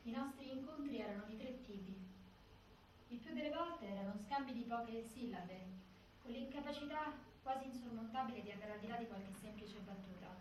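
An actress playing an earnest prison visitor, giving measured testimony as a theatrical monologue. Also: female voice, fairly high pitch, a little mellow, lightly acted. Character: earnest woman recalling difficult prison visits. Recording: very echoey space, far mic, faint noise.

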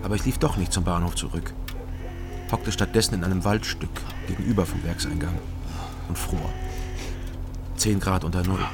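Low gravelly laconic man over music. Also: fairly even intonation, moderate pace, pauses often. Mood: pensive, matter-of-fact. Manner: laconic, understated. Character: younger male night porter, wary, pensive, laconic. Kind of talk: radio crime drama, first-person narration and dialogue.

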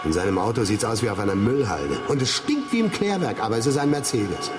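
An actor playing a grumbling, envious quantum physicist in a radio drama, griping in a sarcastic, wordy way full of rhetorical jabs. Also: male voice, lowish voice, big pitch swings, fast talker, over music. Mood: irritated, sarcastic. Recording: clearly noisy background.

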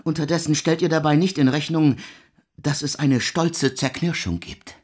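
An actor playing a proud intellectual man in a literary radio drama, with a husky voice and a formal, deliberate, insistent delivery. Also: fairly high pitch, steady tone. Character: proud, intellectual man arguing theology with the devil.

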